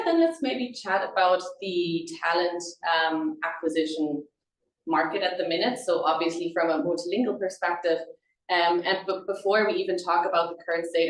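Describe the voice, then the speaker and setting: A woman from Germany with a German accent, presenting conversationally in a webinar as a recruitment consultant, in an informal, chatty way. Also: fairly low pitch. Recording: roomy echo, distant microphone.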